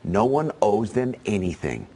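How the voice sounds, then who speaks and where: Husky, animated male host giving commentary. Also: on the low side, a little slow. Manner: emphatic and persuasive, speaking straight to the listener. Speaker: show host. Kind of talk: opinion commentary monologue.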